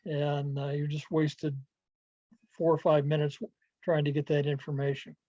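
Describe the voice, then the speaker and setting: Mellow-voiced man presenting over muffled call. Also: a little high, little pitch movement, unhurried, no echo. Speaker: club presenter. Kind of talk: informal online presentation to club members. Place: video call. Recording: muffled lo-fi video call audio.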